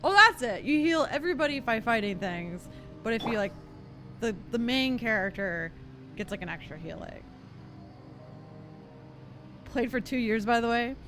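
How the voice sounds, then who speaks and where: A woman giving casual gameplay commentary as a streamer, thinking out loud and often second-guessing herself in a giggly way. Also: fairly high pitch, animated, moderate pace, pauses often. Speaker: game streamer. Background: game music and character voice lines.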